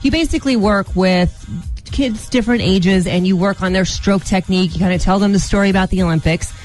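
A woman's voice: mid-range voice, a little fast, darkish tone, soft background music. Emphatic American woman on morning radio. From Colorado, United States. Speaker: radio morning show co-host. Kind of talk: casual on-air banter.

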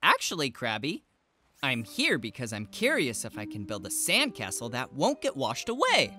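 An actor playing an excitable, curious young boy explorer in an educational children's cartoon, with exclamatory, wide-eyed delivery. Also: medium pitch, moderate pace.

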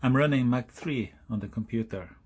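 A man in his forties from Norway, speaking English with a Norwegian accent, explaining a hobby project in a halting, self-correcting way. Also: mid-range voice, a little mellow, slight background noise. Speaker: hobbyist YouTuber. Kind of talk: casual DIY explainer. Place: outdoors on a hilltop, then indoors at a workbench.

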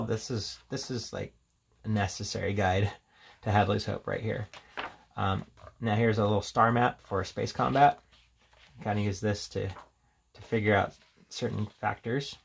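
Low, gravelly man reviewing casually. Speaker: tabletop game reviewer. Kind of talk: casual unscripted product walkthrough. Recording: steady background noise, handling clicks.

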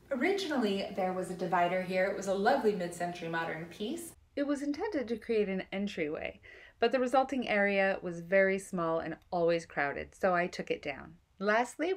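Woman narrating a home renovation story. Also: medium pitch. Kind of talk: scripted voice-over narration.

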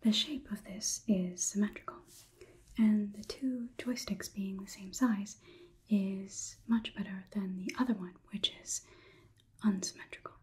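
A young woman performing an ASMR roleplay as a calm robot overlord, whispering in a measured, inquisitive, slightly condescending manner. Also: medium pitch, lightly acted. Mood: quietly probing. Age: young adult. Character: calm, clinical robot overlord examining a human. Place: small indoor room.

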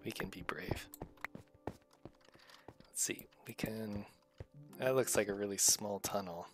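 A woman's voice: very deep voice, slow pace, loud music behind the voice. A woman hosting a kids' gaming video, giving casual, encouraging and exclamatory commentary as they play. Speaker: gaming video host playing alongside a child. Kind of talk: casual gameplay commentary for kids.

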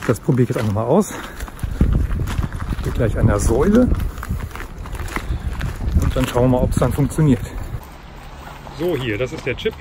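A man in his forties chatting casually through a travel vlog, explaining things as he goes and thinking aloud. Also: mid-range voice. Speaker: vlogger. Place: outdoors by a car park and in a car. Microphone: phone camera at arm's length. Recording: loud outdoor noise.

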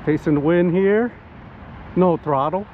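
High-voiced man, excitable hobby pilot outdoors. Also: a little slow. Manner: excitable, whooping, talking to viewers while piloting. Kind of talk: casual live flight commentary. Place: outdoors at a flying spot in wind and rain. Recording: muffled outdoor audio with background noise.

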